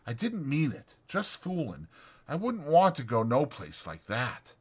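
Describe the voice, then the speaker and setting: A man's voice: a little high, huge pitch swings, a little slow, breathy edge, voice pushed up, full character voice. A man voicing a withdrawn, bitter stable hand, subdued and guarded, in a dramatized audiobook. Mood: resigned. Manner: subdued, dull, guarded, rural dialect.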